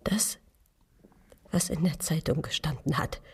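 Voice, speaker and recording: An actress playing a suspect's intimidated, distressed wife in a radio drama, hesitant and submissive. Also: female voice, sits low, a little slow, slightly rough, whispered, full character voice. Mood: nervous, defensive. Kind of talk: German radio play dialogue. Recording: voice off-mic, somewhat distant.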